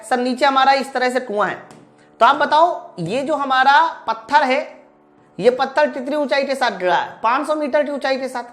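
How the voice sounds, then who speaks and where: A woman teaching physics in an online class, informally addressing students, asking questions and answering them herself. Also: high voice. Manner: asks questions and answers them herself, repeats key lines. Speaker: physics teacher for exam preparation. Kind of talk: live online class, explaining problems step by step.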